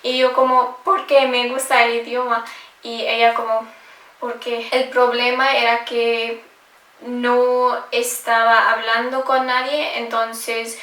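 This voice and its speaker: A woman's voice: fairly high pitch, level tone, slow pace, a bit bright. Hesitant young woman, roomy distant sound. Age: young adult. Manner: hesitant, many fillers and self-corrections. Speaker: language-learning vlogger. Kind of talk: casual personal vlog talk. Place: home room in Scotland.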